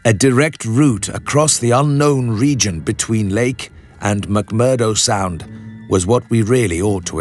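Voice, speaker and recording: An actor playing a grave expedition leader, narrating a literary tale for radio in a measured, serious manner. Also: male voice, lowish voice, lightly acted. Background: background music.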